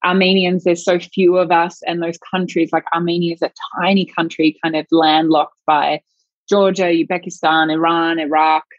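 A woman with a very low speaking voice talks through her thoughts as an interview guest, circling and searching as she goes. Her sentences wind loosely while she works ideas out. The recording is dry, with a dull, muffled lo-fi tone. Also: level tone, moderate pace, no room sound. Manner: searching, thinks aloud in loose winding sentences. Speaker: sexologist guest. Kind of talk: reflective interview conversation.

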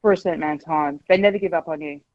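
A woman's voice: low-pitched, rapid-fire, a little mellow, lo-fi sound. A woman, calling a radio show and speaking frankly, with many hesitations. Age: 40s. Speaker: caller. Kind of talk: candid phone-in chat.

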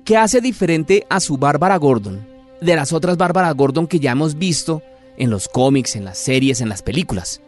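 A man's voice: fairly high pitch, expressive, normal speed, soft background music. A man hosting a conversational podcast interview, chatty and politely formal in his address.

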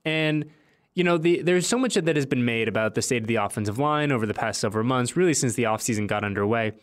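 A man's voice: mid-range voice, fast talker. Upbeat, emphatic male sports podcast host. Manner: animated, emphatic, repeats phrases for emphasis.

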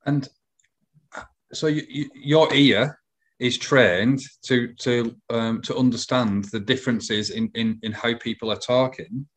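A middle-aged man with a medium-pitched voice hosts a casual interview. He speaks tentatively, repeating words while he works out what he wants to say. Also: fairly even intonation, slow pace.

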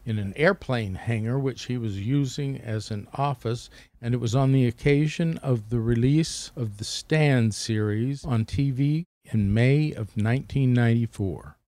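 A man's voice: mid-range voice, measured pace, dark tone, dry recording. A man reading a scripted introduction as presenter.

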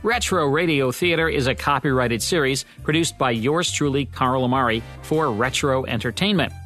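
A man's voice: mid-range voice, moderate pace, a bit bright. A man with an American accent reading a scripted outro as host of a podcast. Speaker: radio show host and producer. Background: music.

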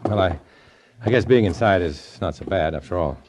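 Low-voiced, guarded undercover man. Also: lively intonation, moderate pace, a little mellow. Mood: uneasy, stalling. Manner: terse, cool. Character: guarded, dry-witted male undercover agent narrator. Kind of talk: 1950s radio drama with first-person narration. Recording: old 1950s radio recording.

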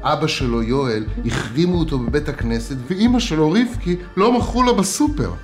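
A man plays a sanctimonious rabbi who spins menacing parables in a theatrical storytelling voice. His pitch rides high and lively, and the sound echoes as though he is in a hall-like room. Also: faint music behind, close to an everyday voice.

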